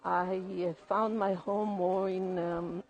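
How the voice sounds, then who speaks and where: A woman artist from Poland speaks hesitantly, pausing often with fillers as she explains her work. She is recorded indoors in a museum gallery. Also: medium pitch, steady tone, a little mellow, lo-fi sound. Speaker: exhibiting artist.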